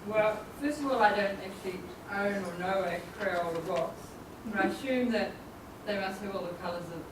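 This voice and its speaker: A woman's voice: mid-range voice, moderate pace. A woman with a New Zealand accent reading a question and answering it on the spot, self-deprecating and hesitant. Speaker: meeting participant. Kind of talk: impromptu answer at a speaking club. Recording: distant mic in an echoey room with noise.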